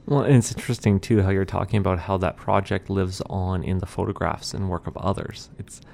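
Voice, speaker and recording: A man's voice: sits low, steady tone, a little fast, creaky, darkish tone, a little hiss or hum. A man interviewing a guest, asking curious follow-up questions.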